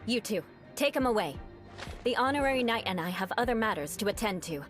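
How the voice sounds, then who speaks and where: A woman's voice: mid-range voice, lively intonation, fairly quick, soft background music, lightly acted. An actress playing a proud young noblewoman knight who speaks with cool, dryly cutting composure in a video game. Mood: weary.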